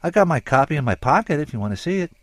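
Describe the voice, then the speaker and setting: A man's voice: fairly high pitch, very animated, very fast, a bit bright, no echo, voice pushed up. A man putting on a jumpy, whiny crook. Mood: wheedling. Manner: jumpy, whining, protesting innocence. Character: nervous, flustered middle-aged male burglar. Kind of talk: audiobook character voice.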